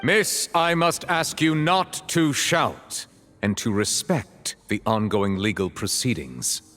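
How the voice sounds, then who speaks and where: An actor playing a stern, dignified chief justice in a video game, formal and authoritative. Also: male voice, mid-range voice, animated, takes it slowly, fairly bright tone, faint music behind. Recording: long hall reverb.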